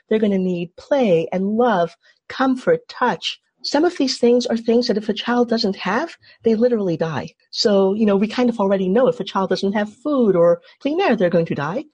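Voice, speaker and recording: A woman with a slightly husky, fairly high voice teaches a workshop over a video call. She explains patiently and keeps asking the group to call out answers. She grew up in Trinidad. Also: a little mellow. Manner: engaging, asks the group questions. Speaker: workshop facilitator.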